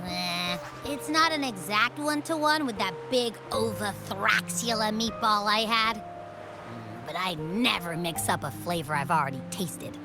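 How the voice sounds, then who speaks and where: A woman's voice: medium pitch, measured pace, lightly acted. A woman voicing a small, cheeky, food-loving creature in a video game, with a cutesy childlike voice and playful, slangy delivery. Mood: musing, cocky. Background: music.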